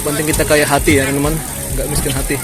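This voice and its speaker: A man's voice: a little high, level tone, fast. A man from Jakarta with a Batak accent, chatting casually to his viewers while walking through a city street. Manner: chatty, keeps addressing viewers as friends. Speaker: vlogger. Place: busy city street outdoors. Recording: street crowd and music behind. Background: crowd and music.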